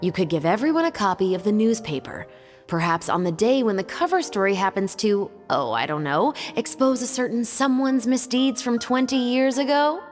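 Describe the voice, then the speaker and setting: A woman's voice: fairly high pitch, expressive, normal speed, close to an everyday voice. A woman playing a terse, cool patrol captain. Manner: terse, understated. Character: adult female patrol captain, cool and guarded. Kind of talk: video game voice acting. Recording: game audio, reverb and music.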